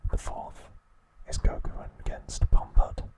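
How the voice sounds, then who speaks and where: A man narrating ASMR in a hushed, breathy whisper, in short measured phrases. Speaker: ASMR host. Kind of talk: whispered ASMR narration, recounting episode summaries.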